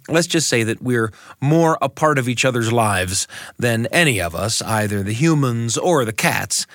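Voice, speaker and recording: A middle-aged American man hosting a radio show and telling personal stories in measured, deliberate phrasing. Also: mid-range voice, fairly bright tone, dry recording, studio quality. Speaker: radio host and storyteller. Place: dry radio studio.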